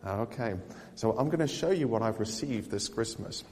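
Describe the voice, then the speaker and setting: A man's voice: fairly low pitch, expressive, moderate pace, a little mellow, big-room sound, slight background noise. Eager man. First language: Afrikaans. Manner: eager, chatty, with small restarts. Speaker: vlogger. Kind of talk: casual chat showing gifts.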